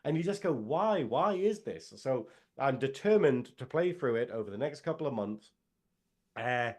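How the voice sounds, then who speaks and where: A man's voice: medium pitch, expressive, moderate pace, darkish tone. A man, co-hosting a casual podcast and rambling in a jokey way with lots of fillers. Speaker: podcast co-host. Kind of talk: casual chat.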